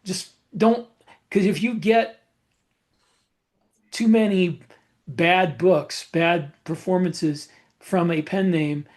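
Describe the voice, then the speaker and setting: A man's voice: sits high, takes it slowly, pauses often. A man chatting casually as a podcast host, giving advice in a matter-of-fact way. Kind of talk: casual advice chat about book publishing.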